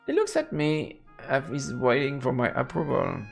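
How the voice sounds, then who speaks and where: A man's voice: huge pitch swings, normal speed, gravelly. A man doing playful let's play commentary, reading a visual novel aloud and throwing in silly exclamations. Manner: playful, breaks into silly high-pitched exclamations. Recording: game music behind the voice.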